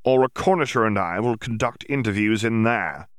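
A man puts on a smug Auror chief, pitched a bit above his own voice, louder and clipped. He sneers and gives orders in a dry, close recording. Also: moderate pace, no echo, close to an everyday voice. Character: smug, brusque middle-aged male Auror chief.

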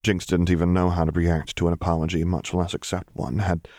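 Male audiobook narrator, very deep register, dry sound, scripted fiction. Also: flat delivery, fairly quick, dark tone, no echo.

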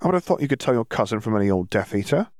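A man's voice: on the low side, sing-song, rapid-fire, a little mellow, no echo, studio quality. A man voicing a brash, feisty teenage schoolgirl who talks bluntly and teases, in an audiobook story. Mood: teasing.

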